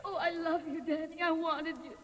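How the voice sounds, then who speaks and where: A woman's voice: extremely high pitch, flat delivery, normal speed. A woman acting in a 1940s radio drama as a lovesick, desperate young woman, emotional and imploring. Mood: anguished confession. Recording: reverberant 1940s radio recording.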